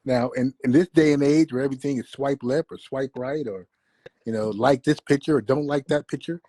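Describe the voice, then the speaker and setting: A man's voice: mid-range voice, a little fast, dark tone, no echo. A man in his fifties chatting casually as a guest, laid-back and slangy with self-deprecating humor. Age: 50s. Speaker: guest, longtime friend. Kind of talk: casual conversation.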